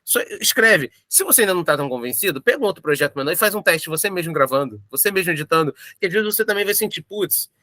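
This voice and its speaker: A Brazilian man with a bright, forceful voice in a very dry recording. He talks informally, as in a casual interview, repeating phrases for emphasis. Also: high-pitched, lively intonation, fast, hardly any pauses, no echo. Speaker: audio drama creator. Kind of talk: casual making-of interview chat.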